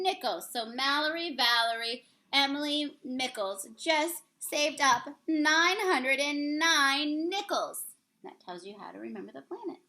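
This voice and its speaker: A woman teacher reading a rhyming picture book aloud to children in an expressive, sing-song storybook manner. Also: sits high.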